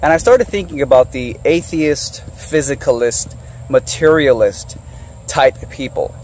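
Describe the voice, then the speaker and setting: A man's voice: mid-range voice, measured pace, darkish tone, a little hiss or hum, rough recording. A man giving an informal, off-the-cuff video monologue.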